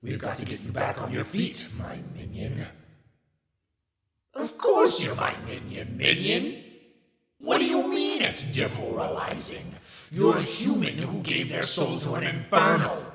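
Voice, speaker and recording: A man voicing a haughty, scheming demon in a theatrical, condescending ASMR roleplay, with a gravelly voice and sly asides. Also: on the high side, wildly swooping pitch, a little slow, reverberant, big-room sound, loud music behind the voice, heavily acted. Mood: indignant, exasperated. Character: sardonic, scheming male demon master, haughty soulmate. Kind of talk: ASMR roleplay, dramatic monologue to listener.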